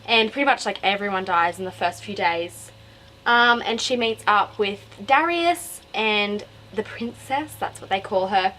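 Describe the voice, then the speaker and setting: A woman's voice: medium pitch, a little slow, pushed and bright, rough recording. A young woman with an Australian accent, talking casually and digressively to camera as a book review vlogger. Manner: rambling, digressive, self-interrupting.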